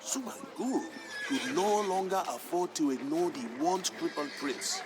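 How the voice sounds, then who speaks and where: An actor playing a proud royal griot, narrating a strategy game's campaign story in a grand, measured and emphatic manner. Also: male voice, on the high side, expressive. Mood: grave, suspenseful. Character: proud male griot, a king's humble family historian, solemn storyteller. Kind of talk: dramatic scripted narration for a strategy game campaign. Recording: music behind the voice. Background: background music.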